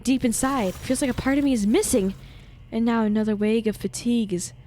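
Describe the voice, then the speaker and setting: A woman's voice: a little high, brisk, warm tone, a little hiss or hum. A woman streamer doing jokey live game commentary and reading dialogue aloud theatrically. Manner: jokey, playful, theatrical, swears casually. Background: game music.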